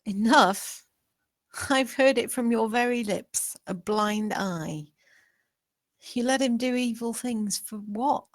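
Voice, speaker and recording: A woman's voice: on the high side, slow pace, no echo. Actress as defiant servant woman, gothic drama, pointed questioning, earnest, dry close sound. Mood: accusing, defiant. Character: Grace, defiant, probing young female servant in gothic drama. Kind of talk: dramatic screenplay table read.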